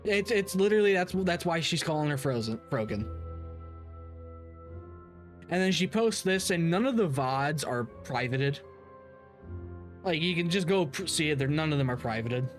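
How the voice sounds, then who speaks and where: A man's voice: on the high side, animated, normal speed, long pauses, faint music behind. A man hosting drama commentary in a blunt, casual, profane way.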